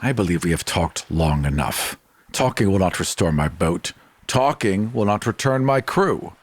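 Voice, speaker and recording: A man's voice: sits low, animated, normal speed. A man playing a grandiose, chivalrous sea captain bent on revenge, speaking in formal, theatrical declamations in a tabletop game. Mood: stern, resolute.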